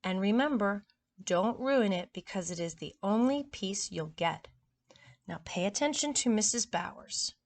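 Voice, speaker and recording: A strict first grade schoolteacher from a storybook, read by a woman. She is bossy and firm, giving emphatic instructions to her class in a medium-pitched female voice. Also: expressive, unhurried, slight background noise. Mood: instructing.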